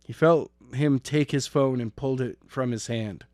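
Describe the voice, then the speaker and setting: A man's voice: medium pitch, normal speed, a little mellow, dry recording, clean studio sound. A man reading an action story as an amateur narrator, stumbling and repeating phrases as he goes. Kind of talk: scripted narration of an action novel.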